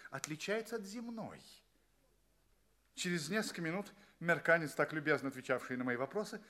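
Radio play lead: earnest, puzzled space voyager, high-pitched voice with big swings in intonation. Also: male voice, pushed and bright. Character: bewildered, polite male space traveler telling his adventures. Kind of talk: theatrical radio play acting and narration.

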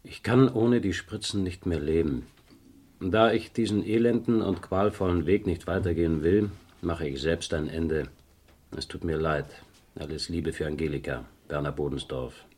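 Low-voiced authoritative police inspector. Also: male voice, a little mellow. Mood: grave reading. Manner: official, measured, commanding. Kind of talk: 1970s German radio crime drama acting.

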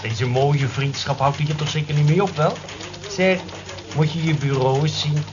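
An actor playing a nosy, teasing office colleague in a Dutch radio drama, bantering and prodding. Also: male voice, a little high, steady tone, normal speed, lightly acted. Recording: dull lo-fi old radio recording, clear noise.

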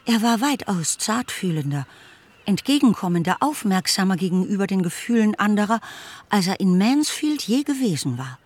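A man narrating a classic romance novel for a radio play in a measured, articulate storytelling manner. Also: sits high, sing-song. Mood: measured, observant.

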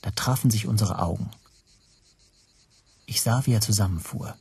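An actor narrating a radio drama in the first person as an anxious language teacher, hushed and tense. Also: male voice, lowish voice, normal speed, vocal fry, husky, no echo, full character voice. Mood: tense dread. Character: anxious male language teacher recounting his spy troubles.

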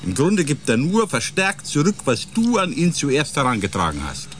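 An actor playing a level-headed spaceship psychologist in a radio drama, explaining in a conciliatory, lecturing way. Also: male voice, fairly high pitch, huge pitch swings, moderate pace, a bit bright, a little hiss or hum. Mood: placating, earnest. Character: calm, balancing male ship psychologist and biologist.

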